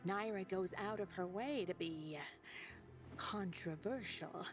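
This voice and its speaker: A woman's voice: mid-range voice, unhurried, over music, natural voice. A woman acting a dry, long-suffering, exasperated local in a video game cutscene.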